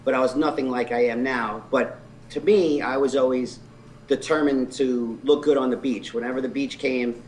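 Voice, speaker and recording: A man's voice: mid-range voice, steady tone, normal speed, darkish tone, low-quality recording. Candid man in his forties, faint hiss. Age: 40s. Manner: earnest and candid, with self-deprecating humor. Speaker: physique competitor guest. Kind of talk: telling his life story in an interview.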